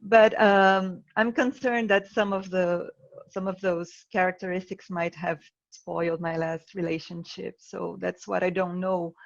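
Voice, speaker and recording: A woman's voice: fairly low pitch, steady tone, measured pace, gravelly. A woman from Brazil with a Brazilian Portuguese accent, a non-native English speaker, calling in hesitantly with nervous laughs. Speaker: caller. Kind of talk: casual call-in conversation. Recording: slightly dull call audio.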